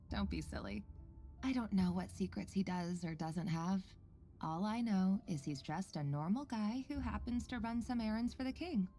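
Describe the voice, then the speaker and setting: A woman playing an earnest, composed researcher in a video game, speaking in a measured, articulate and quietly caring way. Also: medium pitch, animated, soft background music, close to an everyday voice. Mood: gentle, guarded. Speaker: voice actor in a game story quest. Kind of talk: scripted game dialogue and log narration.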